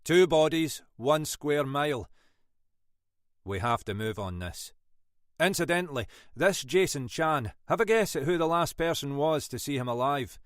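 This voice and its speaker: A man voicing a shrewd older Scottish detective inspector with a dry, brisk, wry manner. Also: fairly high pitch, animated, no room sound, voice pushed up. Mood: urgent.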